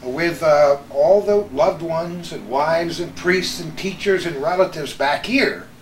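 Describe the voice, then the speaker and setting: A man's voice: a little high, slow pace, roomy sound, far from the mic, slight background noise, low-quality recording. An American man, middle-aged or older, hosting a conversation and telling stories from memory. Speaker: host. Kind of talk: reminiscing conversational storytelling.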